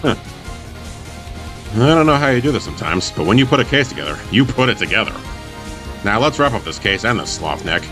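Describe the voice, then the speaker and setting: A man voicing a gruff small male fox sidekick with dry, grudging remarks. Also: on the low side, sing-song, a little fast, long pauses, over music, pitched below the performer's own voice.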